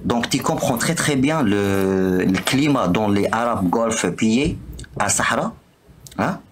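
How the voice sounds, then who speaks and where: A man whose first language is Arabic, calling into a live debate in halting speech and checking "d'accord?" over and over. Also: lowish voice, lively intonation, slight background noise. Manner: halting, keeps asking "d'accord?". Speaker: caller.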